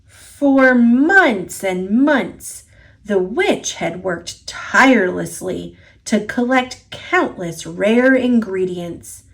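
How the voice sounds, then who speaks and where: A woman reading a children's picture book aloud as a storyteller, expressive and deliberate, drawing out key words. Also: medium pitch, creaky, low-quality recording. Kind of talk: children's story read-aloud, scripted narration.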